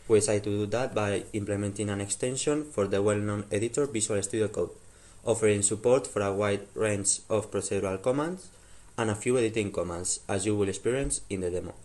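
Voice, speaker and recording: A man's voice: on the low side, a little fast, darkish tone. A young man, a student, introducing a technical project in a scripted presentation. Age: young adult.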